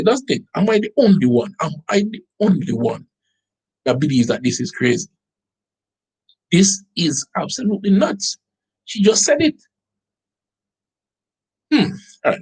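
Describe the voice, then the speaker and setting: A man with a Jamaican accent hosting an online show, reading a government budget aloud and breaking off into incredulous, animated remarks to his viewers. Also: mid-range voice, breathy edge, low-quality recording. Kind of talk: commentary reading out a document.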